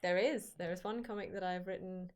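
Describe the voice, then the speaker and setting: A woman's voice: fairly low pitch, steady tone, fairly quick, darkish tone. A woman talking casually about her comic on a live stream, chatty and self-deprecating with frequent restarts. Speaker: comic writer co-host.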